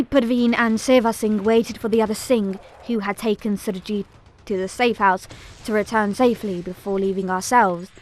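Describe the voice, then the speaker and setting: A woman narrates an audio drama in the first person. Her voice is on the high side, and her scripted delivery is solemn and measured.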